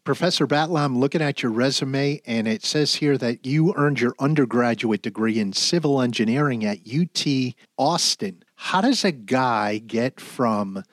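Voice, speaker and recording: A man hosting a podcast, reading out his introduction and questions in a smooth, scripted way. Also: medium pitch, normal speed, few pauses, no room sound. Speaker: podcast host.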